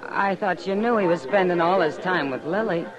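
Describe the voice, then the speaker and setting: An actress playing a friendly saloon keeper in a 1950s radio drama, frank and gently teasing. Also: female voice, on the low side, normal speed, dull audio, music in the background, close to an everyday voice.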